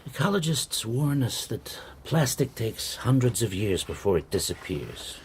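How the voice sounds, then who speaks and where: An actor playing a worldly, probing professor in a radio drama, speaking in a dry, measured, erudite manner. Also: male voice, lowish voice, sing-song, slight background noise. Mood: wry, grave. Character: worldly, probing male history professor, wry.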